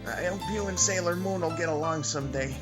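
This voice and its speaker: A man's voice: fairly high pitch, quick pace, close to an everyday voice. A man playing a laid-back, kindly alien in an anime parody drama, under music. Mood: gentle. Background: loud background music.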